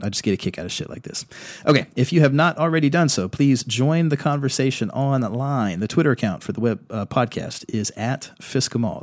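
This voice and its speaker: Slightly rough-voiced man hosting a podcast. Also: on the low side, darkish tone, no room sound, clean studio sound. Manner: chatty, rambling, blunt, with frequent fillers. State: slightly rough voice after illness. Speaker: podcast host. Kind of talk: casual podcast announcements.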